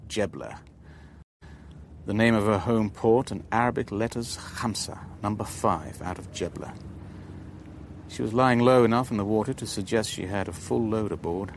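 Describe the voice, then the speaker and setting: Radio thriller lead, played by a man: middle-aged businessman, lowish voice, careful and guarded, some background noise. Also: natural voice. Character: guarded middle-aged businessman, narrating his own spy story. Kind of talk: radio drama dialogue and first-person narration.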